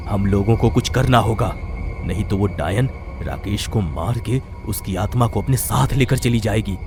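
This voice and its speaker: A man's voice: fairly low pitch, natural voice. A man playing an old tantric holy man in a horror story, solemn and resolute, speaking emphatically. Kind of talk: dramatic horror story acting.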